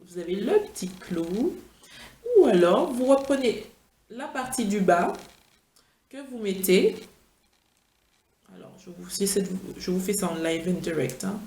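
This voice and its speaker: A woman's voice: medium pitch, mellow, a little hiss or hum. Chatty, tired woman in an echoey room. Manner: chatty, playful, gushing with enthusiasm. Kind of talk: casual product-review vlog.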